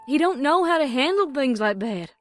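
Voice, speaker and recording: A woman's voice: high voice, very animated, normal speed, faint music behind. A woman with an American Southern accent voicing a proud, protective young farm mare in a cartoon pony audio drama. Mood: worried.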